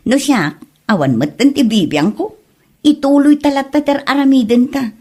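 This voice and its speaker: A woman's voice: sits high, lively intonation, normal speed, a little mellow. A woman playing an easygoing married vlogger in an Ilocano comedy audio drama, conversational and reassuring, with an Ilocano Filipino accent. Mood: unbothered.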